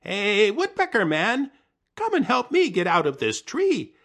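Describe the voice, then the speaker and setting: A man voicing a boastful, bossy male coyote who shouts and commands. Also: high voice, huge pitch swings, a little slow, pitched above the performer's own voice, exaggerated voice.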